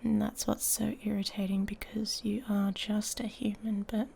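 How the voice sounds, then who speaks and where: A woman playing an irritated, haughty female werewolf in an intimate ASMR roleplay, breathy and often whispering, taunting and seductive. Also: mid-range voice, flat delivery, mellow. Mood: taunting, grudging desire.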